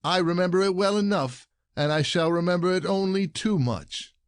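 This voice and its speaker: Guy acting as wronged husband in an old comedy audio play, indignant n formal, voice a bit high, very dry sound. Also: normal speed, no room sound, close to an everyday voice. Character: aggrieved, scheming wronged husband in stage farce.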